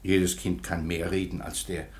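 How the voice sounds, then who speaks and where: A man's voice: low-pitched, level tone, moderate pace, close to an everyday voice. An actor playing a plain-spoken townsman in a radio play, talking respectfully as if reporting to a superior. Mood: eager, respectful. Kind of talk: German radio play acting.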